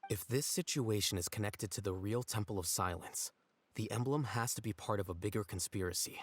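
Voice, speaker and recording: An actor playing a coolly self-assured young male scholar in a video game, measured, formal and deadpan. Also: fairly low pitch, a little hiss or hum, natural voice. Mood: suspicious.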